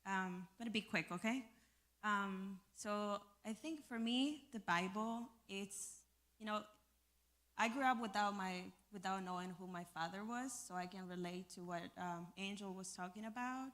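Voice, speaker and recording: A young woman speaks to a gathered group in a mid-range voice, sharing a personal testimony. Her delivery is informal and earnest, with a halting flow as she finds her words. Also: mid-range voice, fairly bright tone. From El Salvador. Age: young adult. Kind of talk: personal testimony to a live audience.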